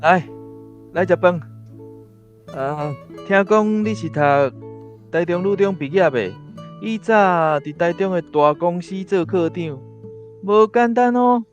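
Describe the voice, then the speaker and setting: A hearty old Taiwanese family elder in a radio drama. He speaks in a fairly high man's voice, avuncular and easygoing, over plucked guitar music. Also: unhurried, pauses often, dull audio. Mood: welcoming, impressed. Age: older. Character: genial, respected old great-uncle, head of a family table.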